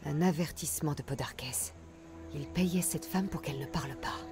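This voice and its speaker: An actress playing a tough, self-assured female mercenary in a video game dub, speaking in a measured, firm way. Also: low-pitched, over music, lightly acted. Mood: pensive, investigating. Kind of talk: dubbed video game dialogue.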